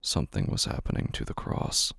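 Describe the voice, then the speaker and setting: A man narrating a scripted horror story in a deliberate, ominous, dramatic manner, pausing between short phrases. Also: no echo, studio quality. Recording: loud music under the voice. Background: music.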